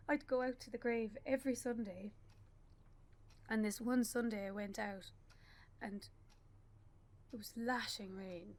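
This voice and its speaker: Actress as higher-voiced grieving young Irish mother. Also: a little mellow. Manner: halting, with pauses and repeated phrases. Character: grieving young bereaved mother, anguished and stubborn. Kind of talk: naturalistic radio play acting.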